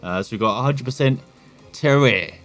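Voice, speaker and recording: A man's voice: mid-range voice, moderate pace, gravelly, a bit bright, soft background music. Chatty English man commentating gameplay. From England. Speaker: gaming channel host.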